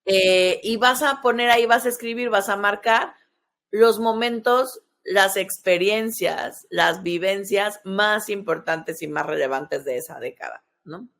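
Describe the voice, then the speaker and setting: A woman's voice: medium pitch, measured pace, vocal fry, fairly bright tone. A woman in her early forties with a Mexican accent, hosting a podcast and explaining things step by step, often checking in with short tag questions. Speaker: podcast host, sexologist. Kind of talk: conversational explanatory podcast talk.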